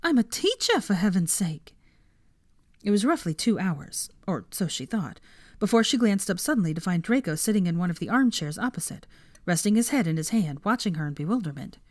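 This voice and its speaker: A woman's voice: fairly low pitch, expressive, brisk, darkish tone, no room sound. A woman narrating a story as an audiobook reader, from a script.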